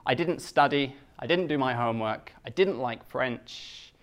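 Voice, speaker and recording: A British man from the UK gives a live talk to a Japanese audience in simple, slow English, joking at his own expense. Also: mid-range voice. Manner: simple slow English with self-mocking jokes. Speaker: guest speaker.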